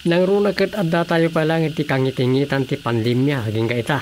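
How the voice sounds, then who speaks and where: A man's voice: on the high side, fairly even intonation, fairly quick, a little mellow, a little hiss or hum, lightly acted. A man with an Ilocano Filipino accent, acting a teasing village councilor in a radio comedy drama. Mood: matter-of-fact.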